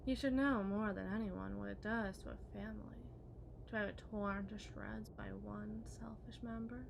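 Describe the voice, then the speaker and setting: A woman voicing a possessive, unhinged kidnapper wife in an ASMR roleplay monologue, theatrical and taunting, swinging between hushed and shrill. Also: steady tone, moderate pace, vocal fry, background noise, close to an everyday voice. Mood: cold, hushed.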